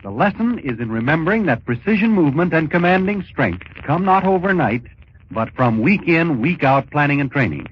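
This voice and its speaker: Male vintage radio announcer, highish voice with lively swings, emphatic sales read, muffled old broadcast sound. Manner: emphatic, measured, persuasive. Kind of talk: 1940s scripted radio public-service pitch. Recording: dull, noisy 1940s radio recording.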